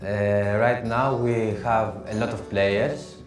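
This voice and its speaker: Echoey, slow-speaking Greek-accented man. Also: lowish voice, steady tone. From Greece. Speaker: esports player. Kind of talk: pre-recorded interview answers. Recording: very echoey room, guitar music underneath. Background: plucked guitar music.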